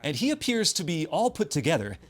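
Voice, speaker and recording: A man's voice: a little high, wildly swooping pitch, brisk, music in the background. A man delivering scripted video game commentary in a sardonic, wry way with exaggerated emphasis.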